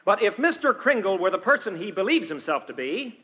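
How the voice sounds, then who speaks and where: An actor playing an earnest, idealistic defence lawyer in a 1940s radio drama, speaking in a measured, persuasive way. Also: male voice, high-pitched, very animated. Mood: confident, formal. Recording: muffled vintage radio recording, long reverb.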